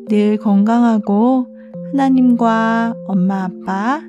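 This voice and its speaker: A woman who is a children's ministry pastor, gently narrating a bedtime segment in a soft, encouraging way. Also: a little high. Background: soft guitar music.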